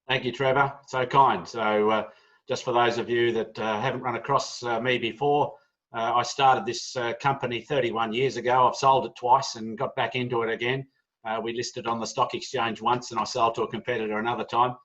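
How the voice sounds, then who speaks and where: A middle-aged man with an Australian accent talks business in a relaxed, rambling way, peppering his sentences with "uh". His voice sits at an ordinary pitch. The audio is dull and lo-fi, as if from a video call. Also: a little fast. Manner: chatty, many "uh" fillers, friendly asides to the audience. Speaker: business speaker.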